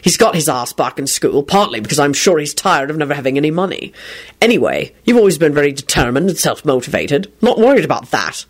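Woman putting on a blunt, gruff, sweary father. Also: sits low, very animated, a little fast. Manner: blunt, impassive, cross. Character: gruff, sweary middle-aged father, impatient but generous.

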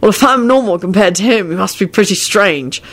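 A woman's voice: medium pitch, lively intonation, brisk. A woman voicing a young man, a wizard, who speaks mildly but turns snappish. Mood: amused. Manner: mild, then snappish. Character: exasperated young adult male wizard, godfather.

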